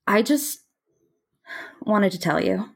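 A woman plays an earnest, dreamy mapmaker in an audio drama. Her mid-pitched delivery is slow and reflective, with deliberate pauses between phrases. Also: lively intonation, vocal fry, breathy edge, exaggerated voice. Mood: reserved, hesitant. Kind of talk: audio play monologue and dialogue.

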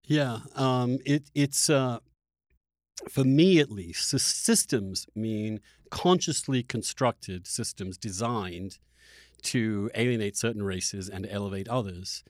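A man's voice: on the low side, no room sound, studio quality. A man hosting an interview, talking through political ideas in an articulate, argumentative way, often restarting as he searches for words. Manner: halting, searching for words with repeated starts. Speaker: interviewer, podcast host. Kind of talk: conversational political discussion.

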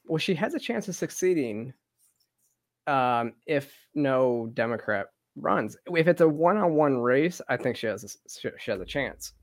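A man's voice: medium pitch, animated, moderate pace, no echo, studio quality. A man hosting a casual political podcast chat, hesitant and full of ums.

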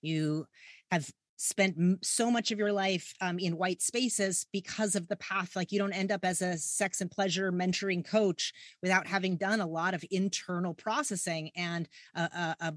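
A woman hosting an interview, effusive and affirming, asking her guest to go deeper. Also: fairly even intonation, normal speed, no room sound, clean studio sound. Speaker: podcast host.

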